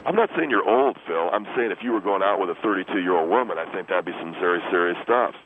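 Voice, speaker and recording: A man's voice: low-pitched, expressive, fast. A man voicing a vain, pompous younger American radio guest who pushes his slangy opinions on a comedy show. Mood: insistent, smug. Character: vain, smug younger man, self-styled relationship expert guest. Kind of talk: comic radio interview character. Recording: muffled phone line with loud noise.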